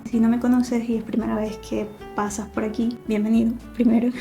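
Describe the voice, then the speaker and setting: A woman, chatting casually in a home vlog, soft-spoken and thinking aloud with small pauses. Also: fairly high pitch, gravelly, faint music behind. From Venezuela. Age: 30s. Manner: soft, relaxed, thinking aloud with fillers and restarts. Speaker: artist vlogger. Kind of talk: casual vlog talk to camera. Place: living room at home, cats playing nearby.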